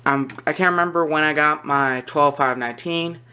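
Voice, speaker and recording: A hobbyist collector talking through items on camera in a casual, halting way, often trailing off between points. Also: medium pitch, bright, forceful tone, smooth. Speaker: collector presenting a hobby video. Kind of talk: casual product walkthrough. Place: indoors in a small room at home. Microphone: handheld camera or phone near the speaker.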